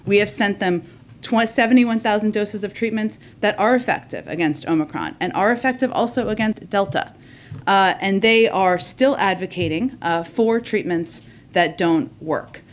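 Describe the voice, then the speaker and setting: A woman answering at a press briefing in a measured, explanatory tone. Her voice sits at a middle pitch, with faint room noise behind it.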